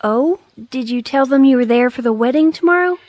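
An actress playing an anxious young bride-to-be in a radio drama. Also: female voice, high voice, animated, fairly quick, a little mellow, dry recording. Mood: dismayed.

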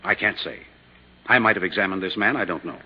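Husky, fast-talking belligerent doctor. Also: male voice, sits low. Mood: defensive, flustered. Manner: defensive, curt, haughty. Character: belligerent, indignant male doctor on the defensive. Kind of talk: 1950s radio drama acting. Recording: dull lo-fi 1950s radio recording.

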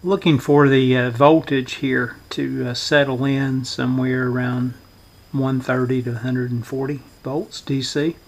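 A man giving a hands-on workbench demonstration as host, speaking in a halting, unhurried, matter-of-fact way. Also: mid-range voice, level tone, slight background noise. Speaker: host demonstrating a vintage radio repair. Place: indoor workbench.

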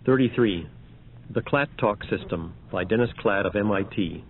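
Low muffled male announcer, matter-of-fact. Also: normal speed, a little hiss or hum. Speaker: narrator of an audio demonstration. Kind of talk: scripted announcing of numbered examples. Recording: muffled, phone-like archival recording.

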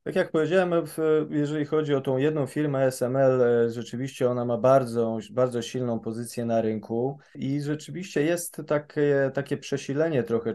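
Hesitant, mellow-voiced male analyst. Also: medium pitch. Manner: hesitant, many fillers and restarts. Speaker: policy analyst guest. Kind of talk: spoken expert commentary.

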